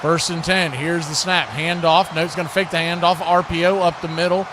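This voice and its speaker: High-voiced man calling football over noise. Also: fairly even intonation, a little fast. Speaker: play-by-play sports announcer. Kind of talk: live radio football commentary.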